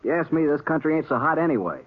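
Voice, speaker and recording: A man's voice: fairly high pitch, animated, fast. An actor playing a bitter, defensive young condemned man in a 1950s radio western, with rustic, folksy speech. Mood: defiant. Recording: muffled old radio recording, faint music.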